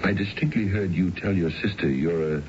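A man's voice: low-pitched, moderate pace, darkish tone, lightly acted. An actor playing a stuffy, indignant middle-aged businessman with formal, pompous diction in a radio drama. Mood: disapproving. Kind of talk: 1970s radio drama acting. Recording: dull, noisy 1970s radio broadcast.

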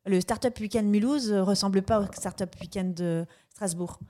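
A woman hosting a podcast interview, asking questions in a measured, thoughtful way. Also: medium pitch, dark tone. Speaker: podcast host.

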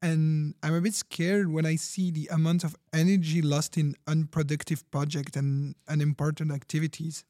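A man plays a sober skeptic on a fiction radio panel. His voice is fairly high and soft-toned, detached and analytical, in a dry recording. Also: flat delivery, moderate pace, hardly any pauses, no room sound, close to an everyday voice. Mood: skeptical. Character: Nilan, sober efficiency-minded skeptic. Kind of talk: fiction podcast panel interview acting.

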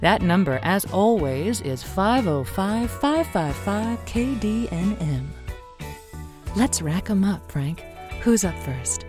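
An actress playing a wry late-night advice show host in an audio drama, sardonic and smooth with a poetic streak. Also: female voice, medium pitch, animated, slow, music in the background. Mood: sultry, musing. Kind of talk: semi-improvised audio drama, radio monologue.

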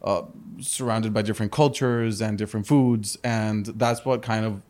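A man's voice: on the low side, steady tone, moderate pace, a little mellow. A man in his thirties who grew up in Spain, a business guest talking in a casual interview, earnest, restarting sentences and tagging them with "correct?". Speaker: entrepreneur guest.

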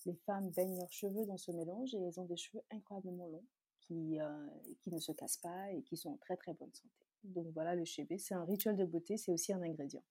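A woman from Chad, a native Tazaga speaker, talks as an interview guest. Her voice is mellow and a little husky, recorded close and dry. She explains things in a conversational way. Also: lowish voice, steady tone, a little fast, no room sound. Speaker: interview guest, beauty brand founder.

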